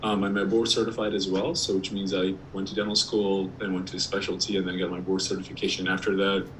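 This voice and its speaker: A man from Iraq, a periodontist giving a casual presentation over a video call, slightly hoarse and talking with many ums and restarts. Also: sits low, level tone, fast talker. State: slightly hoarse, clearing throat. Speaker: periodontist guest speaker. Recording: distant mic with faint background noise.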